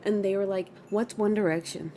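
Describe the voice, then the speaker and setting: A woman's voice: mid-range voice, brisk, a little hiss or hum. A woman in her mid-twenties chatting casually on a gaming livestream, reading chat and reacting aloud. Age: 20s. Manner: chatty, animated, reacts with gasps and laughs.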